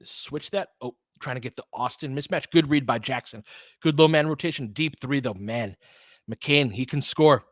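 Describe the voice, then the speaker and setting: A man's voice: mid-range voice, moderate pace, no echo, clean studio sound. A man hosting casual live basketball commentary and analysis, thinking aloud in a conversational way. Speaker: host, fan play-by-play commentator.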